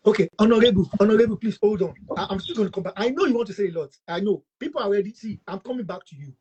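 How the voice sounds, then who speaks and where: A man's voice: high voice, rapid-fire, a little mellow, highs cut off, a little hiss or hum. A man hosting a panel talk show, asking probing questions and often restarting them. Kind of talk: moderating a panel discussion.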